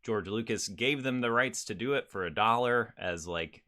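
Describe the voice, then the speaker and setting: A man's voice: on the low side, moderate pace, bright, forceful tone. A man speaking casually and enthusiastically as co-host of a podcast.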